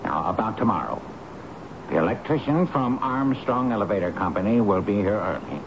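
An actor playing a cold, penny-pinching landlord in a radio drama, brusque, clipped and commanding. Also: male voice, lowish voice, lively intonation, a little fast. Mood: businesslike. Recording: noisy, dull vintage radio broadcast.

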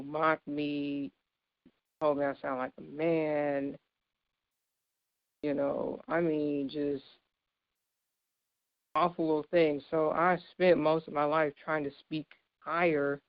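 A woman in her thirties with a deep, low voice, giving an informal, earnest devotional sermon and laughing lightly between points. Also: little pitch movement, slow, pauses often, dry recording, muffled recording. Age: 30s. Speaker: speaker giving a devotional talk.

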